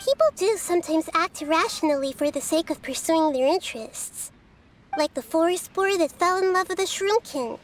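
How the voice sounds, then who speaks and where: Game casting: a tiny wise girl spirit, very high childlike voice, gentle, curious and measured, over background music. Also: expressive, background noise. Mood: curious, musing. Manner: soft-spoken, measured, whimsical, gently teasing. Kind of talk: anime video game character voice lines.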